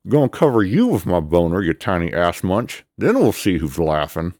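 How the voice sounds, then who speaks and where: A man's voice: low voice, sing-song, moderate pace, a little mellow, no echo, clean studio sound, pitched above the performer's own voice. A man voicing a big, furry male chupacabra who is smug and grumbly. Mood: grumbling.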